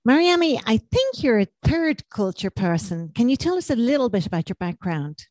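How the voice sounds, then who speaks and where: A woman's voice: fairly high pitch, sing-song, moderate pace, no echo. Woman hosting a chat show, encouraging questions, dry close mic. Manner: warmly encouraging questions, often uses the guest's name. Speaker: podcast host and interviewer. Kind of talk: hosted interview.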